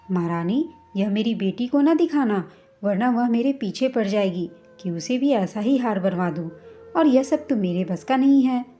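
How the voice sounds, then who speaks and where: Woman acting a scornful older mother-in-law, high-pitched. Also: mellow. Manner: scornful, grudging, complaining. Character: sneering, stingy, bossy older mother-in-law.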